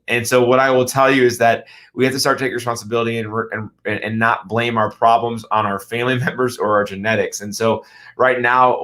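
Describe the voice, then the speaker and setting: Middle-aged Midwestern man, radio health host; earnest, emphatic delivery with rhetorical questions, mid-range pitch. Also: a bit bright. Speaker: radio show host and chiropractor. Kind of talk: health talk radio monologue.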